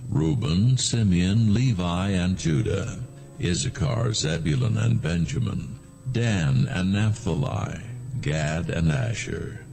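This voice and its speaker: A man giving solemn, measured scripted narration of scripture as a storyteller. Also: fairly low pitch, very animated, creaky, a little mellow.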